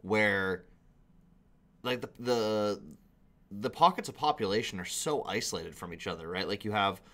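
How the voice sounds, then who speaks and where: Young Canadian male reaction host, lower-pitched, casual, exasperated and foul-mouthed. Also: normal speed, sharp tone. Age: young adult. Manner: sweary, exasperated. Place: small indoor room at a computer.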